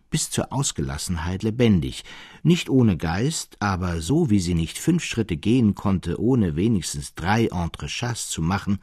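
A Romantic teller of ghost tales in an audio play. His voice is low and husky, with animated swings of pitch. He tells his tale in polished literary prose, recorded dry. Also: dry recording, heavily acted. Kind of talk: scripted literary storytelling.

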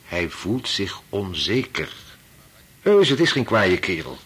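A weary doctor husband in a Dutch radio drama. His voice is very husky, with animated intonation. He is patronising and dismissive, explaining everything away. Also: deep voice, takes it slowly, full character voice. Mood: measured. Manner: dismissive, reasoning, sighing interjections. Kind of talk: Dutch radio play acting.

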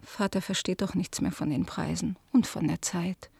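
An actress playing an ashamed, pleading middle-aged daughter in a radio drama, confessing in a hushed voice. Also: female voice, lowish voice, steady tone, moderate pace, gravelly, breathy edge, dry recording, full character voice. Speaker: the collector's daughter.

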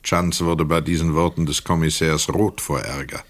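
A man narrating a scripted literary radio play in a measured, sober way. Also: a bass voice, fairly even intonation.

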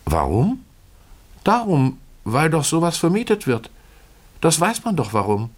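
Blunt female housekeeper, played by a male reader, raised pitch, emphatic, stubborn, storybook servant. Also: huge pitch swings, unhurried, full character voice. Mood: insistent. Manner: insistent, emphatic.

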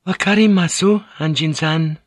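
Man voicing a thin, high-pitched Japanese woman. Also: takes it slowly, no echo, clean studio sound. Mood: nervous. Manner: polite, brief, steady. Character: soft-spoken, polite yet resolute Japanese woman.

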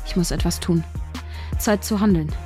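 A woman's voice: lowish voice, moderate pace, over music, close to an everyday voice. An actress playing a shy young woman scriptwriter who narrates her own story in a radio drama. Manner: inner-monologue narration, urgent in scenes. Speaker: narrator and protagonist.

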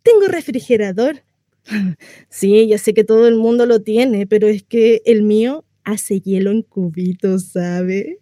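A woman plays a naive, lonely housewife in a radio monologue. Her voice is warm and mellow, in a very dry close recording. She rambles confidingly, insisting cheerfully on her good life and turning coy over delicate matters. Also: medium pitch, measured pace, no room sound, lightly acted. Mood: chatty, boastful. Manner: gossipy, rambling, confiding, coyly hesitant. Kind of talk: theatrical monologue read for radio.

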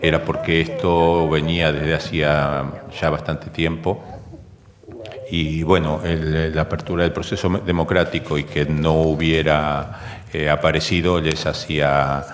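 An older Argentine man recounting memories in an interview, speaking in halting, hesitant phrases. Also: extremely low pitch, little pitch movement. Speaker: interviewee giving testimony. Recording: long reverb, clear background noise.

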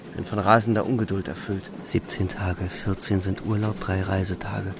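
An actor playing a weary, haunted young soldier, narrating a radio play in brooding, restrained short sentences. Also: male voice, deep voice, flat delivery, normal speed, vocal fry, noisy background. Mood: brooding, tense. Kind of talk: literary radio play narration, inner monologue.

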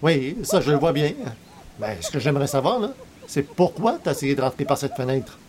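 A man's voice: mid-range voice, expressive, brisk, crowd noise, lightly acted. An actor playing a wry, easygoing male pal with colloquial, teasing delivery and a Quebec French accent in a radio comedy. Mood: amused, teasing. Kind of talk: 1940s-style radio comedy acting.

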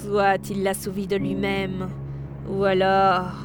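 A woman's voice: medium pitch, completely flat delivery, takes it slowly, over music, close to an everyday voice. A woman playing a theatrical, mercenary fortune-teller with a formal, salesy manner in an audio drama.

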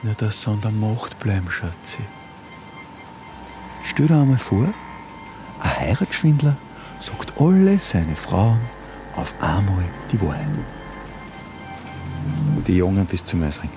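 An actor playing a domineering, condescending conspiracy believer turned kidnapper in a radio play, speaking colloquially with an Austrian accent. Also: male voice, fairly low pitch, very animated, measured pace, pauses often, music in the background. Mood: indignant, condescending. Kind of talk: contemporary radio play acting.

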